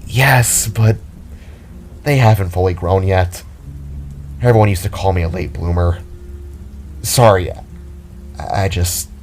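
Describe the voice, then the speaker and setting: A man's voice: low-pitched, measured pace, pauses often, darkish tone, a little hiss or hum. A man voicing a shy, awkward teenage boy in an audio drama, hesitant, stammering and easily flustered. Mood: embarrassed, sheepish.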